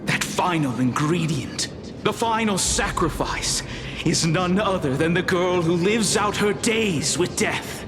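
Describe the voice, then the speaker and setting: Game role: solemn weary scholar, fairly high male voice, unhurried and portentous, music underneath. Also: lively intonation. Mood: grave, resolute. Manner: formal, portentous, measured. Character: weary, grave scholar entrusting a final great task. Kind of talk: dramatic video game acting.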